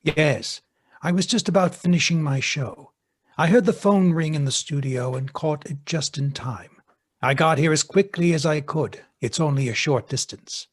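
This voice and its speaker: Guy playing a smug radio man suspect in an old whodunit, raspy, slightly high voice. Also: normal speed, natural voice. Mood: composed. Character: smug male radio broadcaster suspected of murder. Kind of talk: golden-age radio mystery acting.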